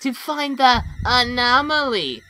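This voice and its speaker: A high, bright, malicious little flower creature, taunts theatrically in a comic dub. The delivery swings widely in pitch and stays mocking and manic. There is faint noise in the recording. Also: female voice, lively intonation, takes it slowly, heavily acted. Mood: sneering, menacing. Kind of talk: animated comic dub acting.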